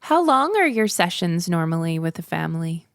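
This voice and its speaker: Woman interviewer, low and gravelly, supportive, dry close mic. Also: sing-song, moderate pace, no echo. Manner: supportive, frequent short backchannels. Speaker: podcast host. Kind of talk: casual interview.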